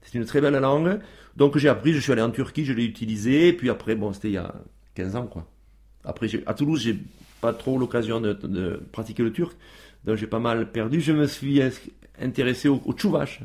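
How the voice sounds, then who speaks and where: A man's voice: medium pitch, fairly quick, darkish tone. A man as a guest on a radio show, talking casually with many fillers and restarts.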